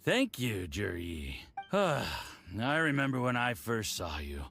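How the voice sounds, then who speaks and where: An actor playing a boastful, condescending, hard-drinking diplomat in a video game, with a theatrical drawl. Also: male voice, lowish voice, huge pitch swings, slow pace, gravelly, fairly bright tone, full character voice. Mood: tipsy, self-satisfied.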